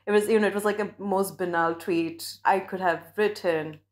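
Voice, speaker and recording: A young woman from India, a doctoral scholar, recounting a personal experience in a reflective, measured way with frequent restarts. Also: medium pitch, fairly even intonation.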